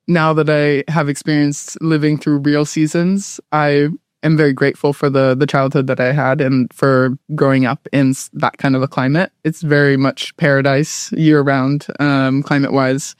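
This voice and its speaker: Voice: mid-range voice, little pitch movement, normal speed, clear, resonant tone, no room sound, clean studio sound. A guest in their late twenties from Southern California, who speaks with an American accent and chats casually, laughing easily and often saying "like" and "um". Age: late 20s. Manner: chatty, laughs easily, fillers like "like" and "um". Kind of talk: casual interview chat.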